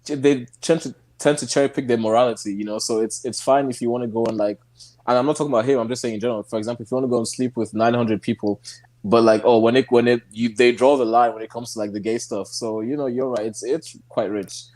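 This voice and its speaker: Young man, from nigeria, relaxed chatty guest, laughs a lot, loose restarts, video call. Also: mid-range voice, fast. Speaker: guest activist. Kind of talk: casual interview conversation.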